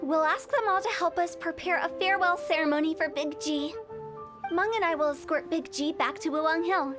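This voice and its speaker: Game role: playful, cocky young funeral director; very high voice. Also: female voice, a little fast. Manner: teasing, self-assured. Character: mischievous young woman funeral parlor director, playful and confident. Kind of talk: video game voice acting. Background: game background music.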